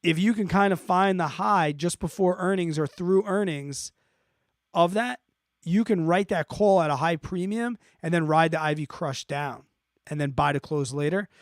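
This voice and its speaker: A man's voice: fairly high pitch, normal speed, no echo, studio quality. A man hosting an investing live stream, explaining off the cuff in an informal, blunt way, thinking aloud and checking in as he answers viewer questions.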